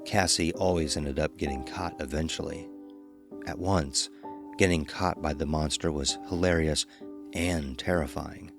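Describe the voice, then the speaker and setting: A man narrating a scripted horror story. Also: a bass voice.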